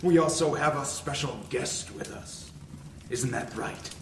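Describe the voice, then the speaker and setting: Actor as a slow, smug cult ringleader. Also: male voice, mid-range voice, lightly acted. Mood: smug, menacing. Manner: theatrical, deliberate, formal. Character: smug male cult master of ceremonies, a villain. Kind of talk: noir audio drama acting. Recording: echoey, distant mic, clear background noise.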